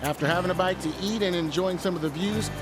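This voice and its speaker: A man's voice: high voice, fast. A man hosting a travel segment in upbeat scripted narration and on-location chat, playful and self-deprecating. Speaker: host of a local travel segment. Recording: music bed behind the voice.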